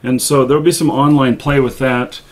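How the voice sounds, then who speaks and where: A man hosting a live show, chatting casually about games in a halting way with pauses mid-thought. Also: medium pitch, fairly even intonation, a crowd in the background.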